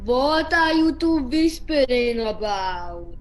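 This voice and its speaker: A woman's voice: high-pitched, lively intonation, slow pace. A woman playing a courtly, sinister male vampire count in a student radio play, speaking formally and theatrically. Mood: suspicious. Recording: roomy echo, music behind.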